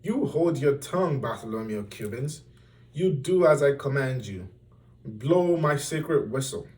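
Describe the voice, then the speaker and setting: A man's voice: medium pitch, animated, a little slow, a little mellow, recorded from a distance, pitched above the performer's own voice, natural voice. A man voicing a hot-tempered, imperious old storybook king in a bellowing, emphatic, commanding manner.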